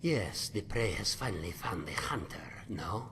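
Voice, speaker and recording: A man's voice: sits low, animated, a little slow, bright, forceful tone, a little hiss or hum, exaggerated voice. A man voicing a simple, wistful cat-man hunter and former sailor in a video game, talking in broken English about himself in the third person. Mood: proud, musing. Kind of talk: video game character dialogue.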